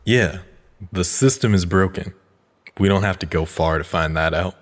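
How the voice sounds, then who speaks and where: An actor playing a calm, community-minded young man in an audio drama, measured, analytical and sincere. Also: deep voice, in a large hall.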